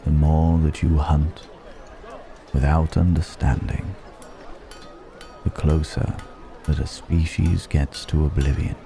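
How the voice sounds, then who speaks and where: A man voicing a very deep, brooding ancient dragon. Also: little pitch movement, creaky, over music, full character voice. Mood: quietly reproachful. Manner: deliberate, pensive, with long dramatic pauses. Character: mysterious ancient male dragon, brooding and philosophical, disguised as stranger. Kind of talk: ASMR roleplay, slow intimate dramatic monologue.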